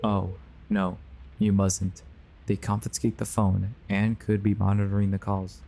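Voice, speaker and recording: A man's voice: lowish voice, completely flat delivery, unhurried, gravelly, dark tone, poor audio. A man playing a grave, earnest believer warning others of a sinister conspiracy in an audio drama. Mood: grave, urgent. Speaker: voice actor.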